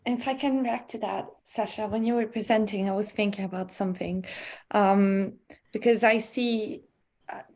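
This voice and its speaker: A woman's voice: mid-range voice, fairly even intonation, moderate pace, darkish tone. Woman from Italy on a panel. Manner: searching for words, many fillers. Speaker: panel participant. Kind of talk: academic panel discussion.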